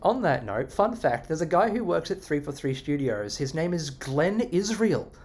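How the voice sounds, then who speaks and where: An Australian man casually answering viewer comments, animated and sarcastic with plenty of swearing. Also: a little high, normal speed, slightly rough. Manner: animated, expressive, sarcastic and profane.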